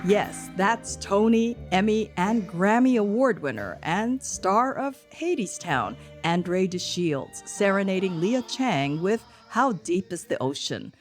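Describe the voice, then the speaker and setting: A middle-aged woman correspondent narrating a scripted news feature with measured delivery. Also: medium pitch, sing-song, over music. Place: living room set.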